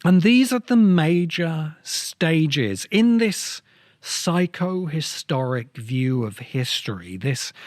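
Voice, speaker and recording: A man speaking as a podcast host in an explanatory lecture style, with measured, deliberate phrasing. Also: mid-range voice, darkish tone. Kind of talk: explanatory lecture to camera or audience.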